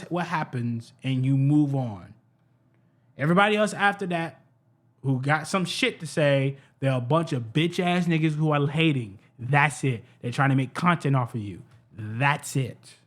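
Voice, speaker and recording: A man with a fairly high voice reacts to a clip in a heated, unpolished rant. He repeats phrases, restarts sentences and swears freely. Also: a little slow. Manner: heated, profane, repeats himself, stumbles over words. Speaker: reaction streamer. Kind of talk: ranting reaction commentary.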